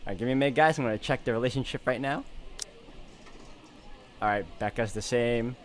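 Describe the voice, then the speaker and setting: A man's voice: medium pitch, fairly quick, slight background noise. A man hosting a let's play, mixing gaming commentary with dramatic read-aloud of visual novel narration. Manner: reads narration dramatically, reacts openly. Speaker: let's play video host.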